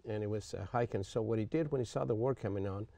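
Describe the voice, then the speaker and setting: An older man from Greece tells his story as an interview guest. His voice sits low and dark. He speaks haltingly, stops to restart and clears his throat, and the sound is close and dry. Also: dry recording. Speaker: retired coach, guest. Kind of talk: interview, recounting childhood.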